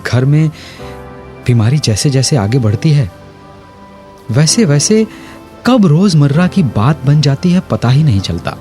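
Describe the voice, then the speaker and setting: A slow, brooding male professor. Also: a little high, lively intonation, mellow, soft background music. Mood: grieving, remorseful. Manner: dramatic, deliberate delivery with weighty pauses. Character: grieving, guilt-ridden male history professor, eloquent and brooding. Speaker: actor in an audio drama. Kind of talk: Hindi radio drama acting.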